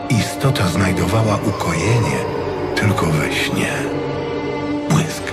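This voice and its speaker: A man's voice: low voice, takes it slowly. A man reading a fantasy audiobook as a dramatic storyteller. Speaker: audiobook narrator. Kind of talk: dramatic scripted narration of a fantasy tale. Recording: music behind the voice.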